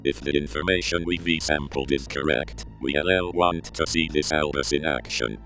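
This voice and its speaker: A man playing a cold, commanding crime boss in an audio drama, speaking curtly and calmly with authority. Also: very deep voice, moderate pace, soft background music. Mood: cold, menacing. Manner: curt, calm, authoritative. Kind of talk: scripted audio drama roleplay.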